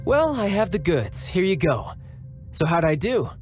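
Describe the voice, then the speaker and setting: An actor playing a suave, smug young fighter in a video game cutscene, talking in a smooth, mocking, politely condescending way. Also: male voice, sits high, big pitch swings, measured pace, a little mellow, soft background music.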